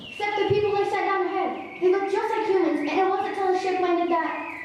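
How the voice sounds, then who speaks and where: A woman's voice: very high-pitched, fairly even intonation, a little fast. A young woman playing an earnest, frightened boy in a stage radio play. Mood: urgent, fearful. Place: school stage performance. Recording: very echoey hall, faint noise.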